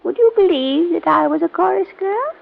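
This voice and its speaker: A woman's voice: expressive, normal speed, vocal fry, slight background noise, exaggerated voice. An actress playing a wealthy widow and former chorus girl in a vintage radio mystery, with a fluttery, dramatic manner. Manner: fluttery, dramatic swoops in pitch. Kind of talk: 1950s radio drama acting. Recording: dull vintage radio recording.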